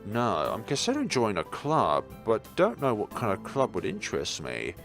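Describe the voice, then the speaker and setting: A man's voice: lowish voice, huge pitch swings, moderate pace, over music. A man reading the part of an awkward, self-conscious teenage male student in a visual novel, speaking hesitantly. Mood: hesitant, uneasy. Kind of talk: visual novel dialogue read aloud.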